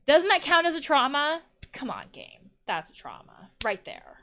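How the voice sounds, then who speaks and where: Animated woman commenting on a video game. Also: high voice, moderate pace, soft background music. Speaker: counselor playing and reacting to a video game. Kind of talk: let's-play commentary, reading dialogue aloud and reacting.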